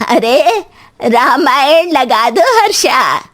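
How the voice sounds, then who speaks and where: महिला के अभिनय में खींचकर बोलती घरेलू सास. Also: female voice, high-pitched, sing-song, extremely slow, a bit bright, voice pushed up, full character voice. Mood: coaxing. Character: older mother-in-law, homely, chatty, fondly bossy.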